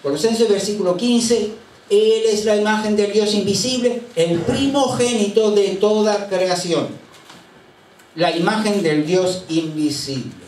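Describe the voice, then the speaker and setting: High-voiced older pastor, distant and roomy. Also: male voice, darkish tone, a little hiss or hum. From Chile. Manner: unhurried anecdotal storytelling with frequent hesitations. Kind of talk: sermon. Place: church sanctuary. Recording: roomy church sound from a distant mic.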